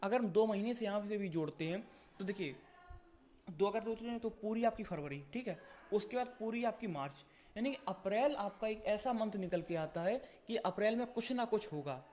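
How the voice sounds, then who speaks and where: A man's voice: high-pitched, lively intonation, moderate pace, a little mellow, slight background noise. A man presenting an exam-update video in an informal explainer style, repeating his points and answering his own questions.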